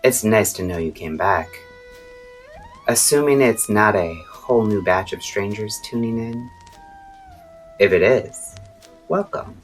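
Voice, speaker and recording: A woman playing a mysterious late-night radio host, theatrical and ominous, with cryptic, measured delivery and a husky voice. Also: rumbling low. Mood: welcoming, theatrical. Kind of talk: scripted eerie radio storytelling narration. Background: music.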